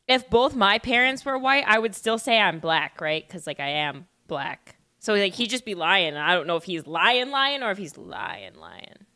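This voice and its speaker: Bright, lively young woman streamer. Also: medium pitch, normal speed, hardly any pauses. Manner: chatty, rambling, laughs often. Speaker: streamer reacting to a video. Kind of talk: casual reaction commentary. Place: home room, indoors. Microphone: studio mic on boom, headset.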